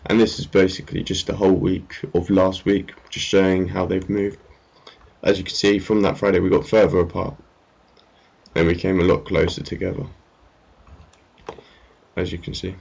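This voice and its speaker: A man's voice: low-pitched, little pitch movement, normal speed, creaky, long pauses, a little mellow, husky, highs cut off. A man giving casual, unscripted commentary on a screen as a market analyst, hesitant and rambling. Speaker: trading analyst presenting his market update. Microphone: amateur screen-recording voice-over.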